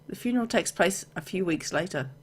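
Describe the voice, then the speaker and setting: A woman with a low voice narrates a story game aloud in a let's play style. She reads the text as a story and drops in casual remarks of her own as the player. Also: expressive, a little fast, slightly rough. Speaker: gamer reading a visual novel aloud.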